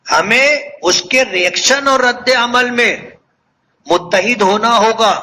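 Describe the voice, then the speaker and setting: High-pitched, slow preacher man. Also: pushed and bright, rough recording. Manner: earnest, measured, repeats phrases for emphasis. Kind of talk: religious sermon to a congregation.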